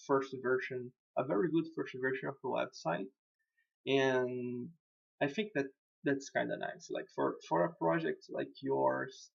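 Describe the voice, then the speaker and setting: A man's voice: mid-range voice, vocal fry, lo-fi sound. A man whose first language is Portuguese, speaking English casually as a web designer while walking a client through their website, repeating words and laughing at himself. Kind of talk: casual screen-recorded walkthrough.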